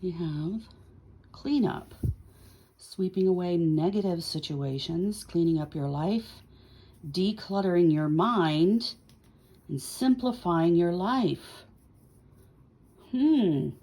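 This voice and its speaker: A woman's voice: on the low side, lively intonation, takes it slowly, long pauses, dark tone. A woman hosting a tarot reading, chatting casually and thinking aloud in short, musing phrases. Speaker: tarot reader hosting a video series.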